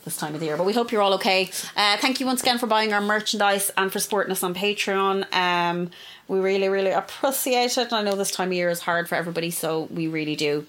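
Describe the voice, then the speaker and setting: Fast-talking blunt woman from Ireland. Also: mid-range voice, fairly bright tone. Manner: blunt, swears freely. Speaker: podcast co-host. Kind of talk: casual chat.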